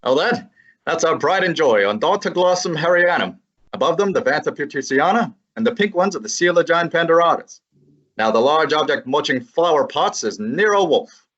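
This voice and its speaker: Breezy smart-mouth sidekick guy , woman playing him, husky mid voice, muffled lo-fi radio play. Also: low-pitched, expressive, normal speed. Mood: wisecracking. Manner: breezy, sarcastic. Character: wisecracking young male assistant detective. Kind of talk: student radio drama acting. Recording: dull lo-fi recording.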